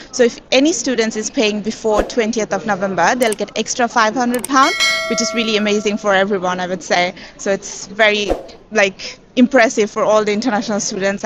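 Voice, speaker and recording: A woman's voice: medium pitch, poor audio. A woman from Bangladesh, now university staff, enthusiastically promoting scholarships in an interview. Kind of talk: answering interview questions. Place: busy education expo hall.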